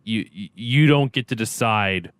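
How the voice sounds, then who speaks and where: Smooth-voiced upbeat man. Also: lowish voice, slow pace, dry recording. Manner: upbeat, jokey and animated. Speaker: podcast guest, streamer and actor. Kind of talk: casual interview chat.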